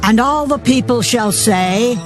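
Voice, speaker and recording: A man's voice: extremely high pitch, unhurried. A man narrating dramatized scripture in a solemn, declamatory way, with music behind.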